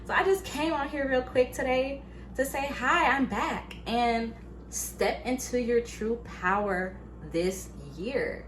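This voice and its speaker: A woman in her late twenties talking to her YouTube viewers in a casual, animated, chatty way, full of fillers. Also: high voice, slow, a little hiss or hum. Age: late 20s. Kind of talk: casual motivational vlog. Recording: echoey room, faint ambience.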